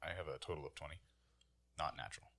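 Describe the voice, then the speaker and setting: A man's voice: very deep voice, fairly even intonation, fast talker, bright, forceful tone. A man in his twenties, a player on a tabletop game podcast, speaking with some theatrical flair. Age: 20s.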